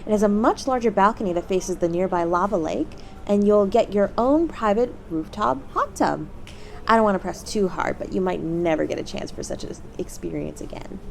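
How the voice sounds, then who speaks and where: Woman playing a bubbly, lively demon hotel receptionist. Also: medium pitch, moderate pace, creaky, slight background noise. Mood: polite, persuasive. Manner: bubbly, courteous customer-service patter. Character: cheery demon hotel receptionist, eager and polite, salesy. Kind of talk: scripted audio roleplay, addressing the listener as a guest.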